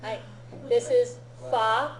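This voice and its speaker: Slow, patient woman teaching Japanese. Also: a little high, fairly even intonation, a crowd in the background. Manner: unhurried, explains patiently, laughs often. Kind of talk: relaxed classroom-style lesson. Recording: echoey room.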